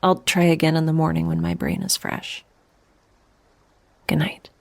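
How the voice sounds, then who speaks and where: A woman's voice: deep voice, very animated, unhurried, no room sound. A woman playing a sleepy, wry young woman in space, rambling confidingly into an audio diary for a science fiction podcast drama. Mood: frustrated, tired. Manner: rambling, confiding, self-mocking.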